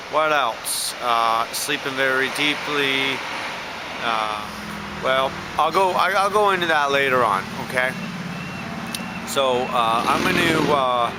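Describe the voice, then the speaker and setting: A man from Canada with a stuffed-up cold, rambling hesitantly with many fillers in a casual video diary. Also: medium pitch. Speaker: vlogger. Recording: echoey room with loud background noise.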